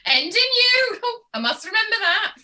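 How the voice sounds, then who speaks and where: A cheeky barmaid, acted by a woman in a radio comedy. Her voice is high and piercingly bright, swinging lively up and down as she teases her customers with innuendo. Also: measured pace, heavily acted. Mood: teasing, amused. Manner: teasing, giggly. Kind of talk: radio comedy play reading.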